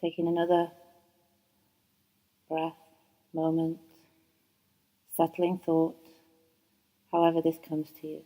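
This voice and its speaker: A woman teaching yoga nidra, guiding a relaxation meditation in a soft, soothing voice, slow and unhurried with long pauses. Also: fairly low pitch, little pitch movement, low-quality recording. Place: yoga training course.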